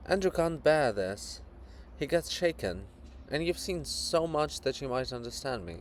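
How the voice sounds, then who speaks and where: A man voicing a troubled princess monument, soft, grave and earnest, in a dramatic reading. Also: mid-range voice, big pitch swings, measured pace, slight background noise.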